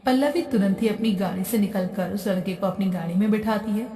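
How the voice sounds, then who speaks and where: A woman's voice: medium pitch, fairly quick, dark tone. A woman reading scripted narration for a moral story as the narrator. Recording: echoey hall-like reverb, distant.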